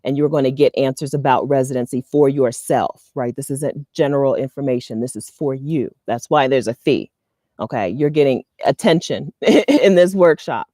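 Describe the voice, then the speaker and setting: A woman in her thirties from the US East Coast, talking casually to camera with advice, chatty and animated, often laughing and checking in with "okay?". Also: rumbling low, moderate pace, no echo, clean studio sound. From Delaware, US East Coast. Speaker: vlogger and expat community host. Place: outdoors in front of a leafy green wall.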